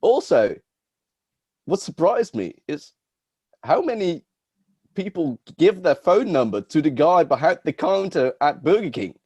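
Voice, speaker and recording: A man's voice: a little high, big pitch swings, a little slow, long pauses, a little mellow, slightly rough, no echo, highs cut off. Easygoing Dutch-accented man, dry sound. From Netherlands. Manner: easygoing, laughs along, short agreeing replies. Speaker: podcast guest. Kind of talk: casual interview chat.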